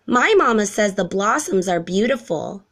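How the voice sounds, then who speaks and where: A woman's voice: mid-range voice, animated, normal speed. A woman voicing a friendly young female giraffe in a storybook, eager and childlike. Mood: cheerful. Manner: bright-eyed, eager, childlike greeting and asking.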